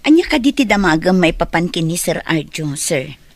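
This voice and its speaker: A woman's voice: lowish voice, big pitch swings, moderate pace, no room sound. A woman with an Ilocano accent playing a polite, gossipy schoolteacher in an audio drama. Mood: curious. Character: inquisitive, gossipy female schoolteacher, polite to colleagues.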